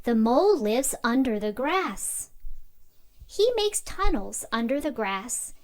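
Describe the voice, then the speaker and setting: A woman's voice: fairly high pitch, sing-song, slow pace, darkish tone. A woman voicing a small, cheerful, curious mouse puppet who teaches toddlers in simple, repetitive exclamations. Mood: explaining.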